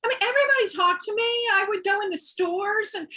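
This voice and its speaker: A woman in a club video chat, telling stories in an animated, excited way. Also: extremely high pitch, quick pace. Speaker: club member. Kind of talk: casual group chat.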